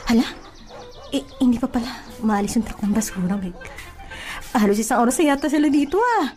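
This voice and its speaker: A woman's voice: a little high, lively intonation, a little fast, darkish tone, natural voice. A woman playing a feisty, playful young housemaid in a Filipino radio comedy-drama, girlish, theatrical and exclamatory. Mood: curious, embarrassed. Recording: music behind the voice.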